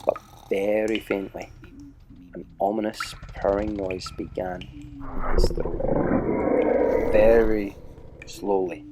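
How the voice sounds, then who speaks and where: A man narrating a children's adventure story in a measured, dramatic way, dropping to a hush at tense moments. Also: lowish voice, level tone, heavy background noise. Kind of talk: audiobook-style storybook narration.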